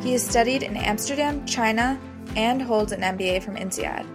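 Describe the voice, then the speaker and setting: A woman's voice: fairly high pitch, normal speed, music in the background. A woman hosting a podcast, reading an upbeat introduction and asking interview questions with enthusiasm. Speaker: podcast host.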